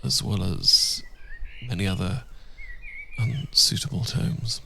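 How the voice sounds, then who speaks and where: A British man playing a tender, devoted Regency gentleman husband in an intimate ASMR roleplay, speaking gently and a little hesitantly in formal period phrasing. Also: deep voice, takes it slowly, gravelly, a little hiss or hum, full character voice. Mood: gentle, chatty. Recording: outdoor birdsong ambience behind voice. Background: birdsong and outdoor ambience.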